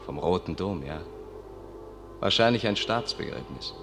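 Solemn guide for radio drama: low male voice, measured and evasive, in long reverb. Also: lively intonation, gravelly, exaggerated voice. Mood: solemn, hushed. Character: male guide leading a blind, dignified companion. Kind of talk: German radio play acting. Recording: long reverb, loud music behind.

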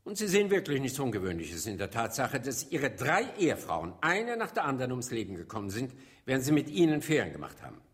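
An actor playing a sharp, insistent prosecutor in a radio drama, questioning formally with pointed irony. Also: male voice, mid-range voice, big pitch swings, quick pace, close to an everyday voice. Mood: sardonic, pressing. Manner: formal, pointed, ironic cross-examining. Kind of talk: German radio drama acting.

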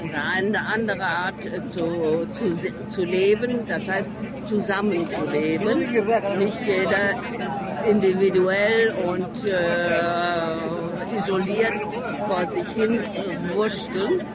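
Female cooperative representative, slow halting delivery, interviewed outdoors amid very loud crowd and traffic. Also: medium pitch. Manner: halting, searching for words. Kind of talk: spontaneous interview answers. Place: outdoors on a city square. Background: loud crowd, vehicles.

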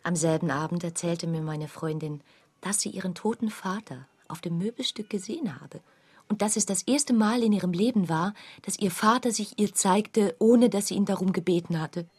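A woman narrating a literary radio play as a quiet storyteller of uncanny events, with a husky, breathy voice. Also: fairly low pitch, normal speed. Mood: quiet wonder.

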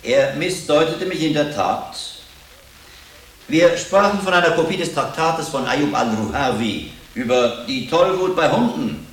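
A scholarly friar speaks in an echoing room at a slightly raised pitch. He is composed and courteous with dry irony, as in a medieval radio play. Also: expressive, unhurried. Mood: dryly courteous. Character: learned, dryly ironic friar, composed and courteous. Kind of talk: German radio drama acting. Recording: echoey room.

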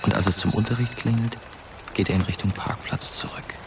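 Very deep wistful actor as a ghostly narrator. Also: male voice, fairly even intonation, a little fast, heavy background noise, close to an everyday voice. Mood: wistful, quiet. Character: dead teenage boy, wistful, watching over his living friend. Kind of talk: scripted radio drama narration. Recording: music bed behind the voice. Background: loud atmospheric music.

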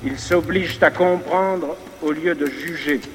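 A man's voice: a little high, a little slow. A man giving a formal, solemn, declamatory public speech. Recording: reverberant archive speech, noisy background.

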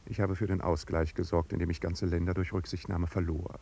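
An actor playing an ironic, haughty duke with polished, dry courtesy in a 1950s German radio play. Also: male voice, sits low, flat delivery, fairly quick, creaky, mellow. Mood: cool irony. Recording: 1950s radio recording, faint noise.

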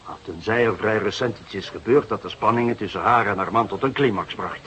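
An actor playing a sceptical, patient older police detective in a radio drama, with a measured, musing delivery. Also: male voice, on the low side, fairly bright tone, lightly acted. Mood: businesslike. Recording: noisy radio drama recording.

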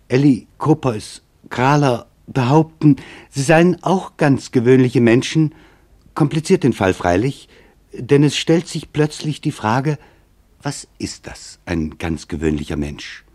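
Male audiobook narrator for literary documentary: mid-range pitch, measured, reflective, scripted delivery. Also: lively intonation, a little mellow.

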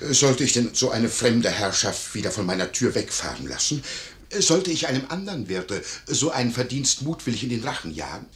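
A slimy fawning innkeeper, flustered and stammering, voice leaps all over, fifties radio drama. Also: male voice, medium pitch, big pitch swings, a little fast, a bit bright. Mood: wheedling. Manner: obsequious, stammering, wheedling. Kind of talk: 1950s German radio play acting.

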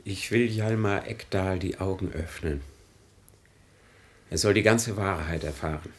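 A man's voice: deep voice, unhurried. A man playing a stubborn, idealistic son in a German radio play, speaking in an earnest, resolute, moralising way. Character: stubborn idealistic son, fervent truth-seeker.

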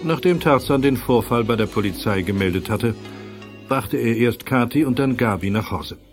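A man's voice: mid-range voice, moderate pace, over music, natural voice. A man acting as the narrator of a youth detective audio drama, reading scripted story passages. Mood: measured.

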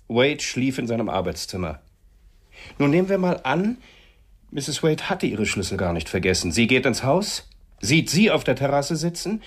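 An actor playing a shrewd, suspicious police investigator in a radio crime drama, with a husky voice and a sardonic, insistent way of questioning. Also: male voice, mid-range voice. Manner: sardonic, insistent, clipped phrases.

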